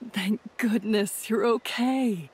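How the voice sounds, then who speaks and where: High-voiced, emotional young girl. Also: slow, a little hiss or hum. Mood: relieved. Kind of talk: video game voice acting.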